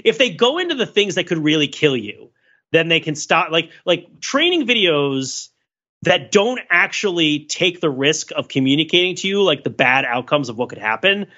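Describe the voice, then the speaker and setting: Bright, forceful American man, slightly dull audio. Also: fairly high pitch. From United States. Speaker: podcast co-host. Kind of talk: casual, joking group discussion.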